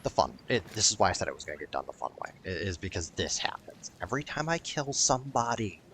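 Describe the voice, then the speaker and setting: A man's voice: low voice, sing-song, quick pace, fairly bright tone, slight background noise. A man rambling through casual gameplay commentary, swearing and sounding wryly exasperated as he streams. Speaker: video game streamer.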